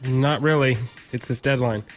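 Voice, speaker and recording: A man's voice: medium pitch, fairly even intonation, normal speed, faint music behind, natural voice. A man playing an overworked, irritable and suspicious lab worker in an audio drama, in a casual, questioning manner. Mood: distracted, impatient. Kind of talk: naturalistic audio drama acting.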